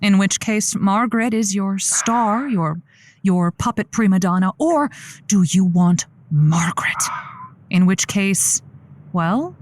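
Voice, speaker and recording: A woman playing a sympathetic, encouraging radio advice host on a comedy show, speaking in a deliberate, wry, supportive way. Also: mid-range voice, expressive, a little hiss or hum. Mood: wry, counselling.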